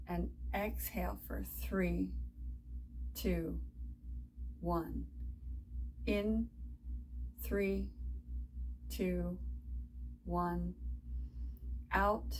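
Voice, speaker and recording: Female meditation guide, very slow and soothing, long pauses, faint noise behind the voice. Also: on the low side, rough recording. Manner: soft, soothing, unhurried instruction with long pauses. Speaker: meditation and yoga teacher. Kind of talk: guided body scan meditation.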